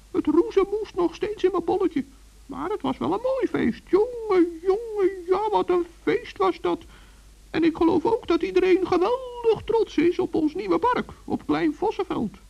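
Tiny elderly gnome: very high, creaky, gentle and dithering, for a children's radio play, dull old recording. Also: male voice, heavily acted. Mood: sleepless, dreamy. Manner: dithering, repeats himself, talks in diminutives. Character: small old bearded gnome, gentle, shy, kind-hearted.

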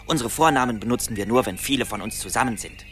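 A man's voice: mid-range voice, fast talker, a bit bright, close to an everyday voice. A man acting a small, cheeky earwig soldier who is weary of soldiering, in a radio play. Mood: fed up. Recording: music behind the voice.

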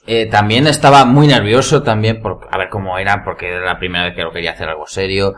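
A man's voice: low-pitched, steady tone, fast, fairly bright tone. A man with a Spanish accent from Spain, telling anecdotes in a casual group chat as a podcast panelist. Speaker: podcast panelist and amateur actor.

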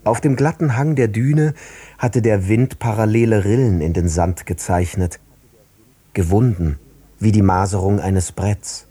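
A man giving a scripted narration of literary prose, measured and delivered phrase by phrase. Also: fairly low pitch, mellow, breathy edge, no echo.

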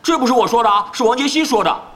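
An actor playing a chatty, nosy young male pro gamer who pesters rapidly in an audio drama. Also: extremely high pitch, lively intonation, a bit bright. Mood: prodding. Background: background music.

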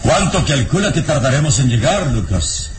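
An actor playing a noble, calm and fearless adventurer hero in a vintage radio serial, measured and resolute. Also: male voice, medium pitch, lightly acted. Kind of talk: vintage Spanish-language radio serial acting. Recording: noisy old radio recording.

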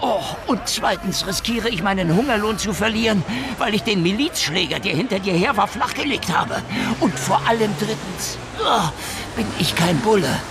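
An actor playing a hard-boiled, sardonic private detective in a radio drama, with a gruff, sarcastic way of talking. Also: male voice, high voice, expressive, moderate pace, hardly any pauses, over music. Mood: mocking, irritated. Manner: gruff, sarcastic, coarse tough talk.

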